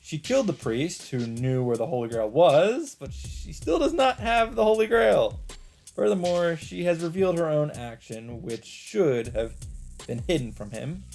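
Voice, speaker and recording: A man's voice: fairly high pitch, lively intonation, measured pace, creaky, darkish tone. A man hosting a let's play, reading visual novel narration dramatically and adding his own casual asides. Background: background music.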